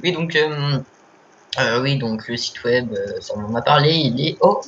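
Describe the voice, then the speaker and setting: A young man who has a cold, joking around excitedly while playing an online game and stumbling over his words. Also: mid-range voice, unhurried. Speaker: gamer and channel host. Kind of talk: casual gaming chat. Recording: slightly muffled home mic with faint hiss.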